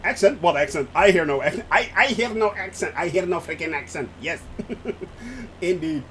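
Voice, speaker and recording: A Dutch man with a clear Dutch accent talks his way through a live stream, chatty and excitable, often breaking into laughter. His voice sits fairly high and leaps up and down a great deal as he reacts. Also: moderate pace, a little hiss or hum. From Netherlands. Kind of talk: casual live-stream chat while flying a simulator.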